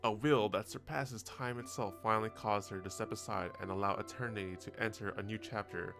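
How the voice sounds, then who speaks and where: A man's voice: on the low side, steady tone, fairly quick, vocal fry, over music. A man hosting a gaming video with casual, slangy commentary, reacting aloud to the game. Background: game soundtrack.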